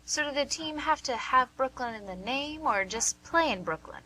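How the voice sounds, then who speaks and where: An actress with an American accent playing a young, inquisitive woman who gently probes in a conversational way, in a radio play. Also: sits high, animated, normal speed, full character voice, poor audio. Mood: curious, probing. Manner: questioning, conversational. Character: young, inquisitive, gently probing female listener.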